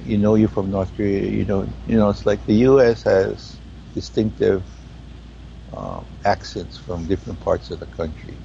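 A man with a Hawaiian accent talking in a spiritual conversation, slow and halting as he searches for words. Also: fairly low pitch, fairly even intonation, gravelly, warm tone, highs cut off, a little hiss or hum. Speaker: guest.

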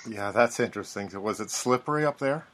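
A man's voice: fairly low pitch, quick pace, fairly bright tone. A man hosting a casual talk show, introducing the episode and prompting his guest with brief, friendly questions. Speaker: podcast host. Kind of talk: casual conversation.

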